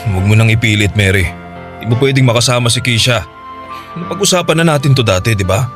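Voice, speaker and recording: A man's voice: medium pitch, lively intonation, fast talker, over music, lightly acted. A man playing a stern, distrustful father who speaks firmly and bluntly in a Tagalog radio drama. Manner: firm, scolding, blunt. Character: stern, distrustful father guarding his child.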